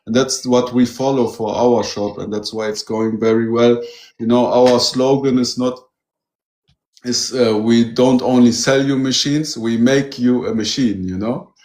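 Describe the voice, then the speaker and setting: A man's voice: mid-range voice, fairly even intonation, measured pace, darkish tone. A man from Germany, a guest who runs a metal detector shop, talking casually and eagerly and often saying "you know". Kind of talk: casual interview chat. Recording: roomy echo.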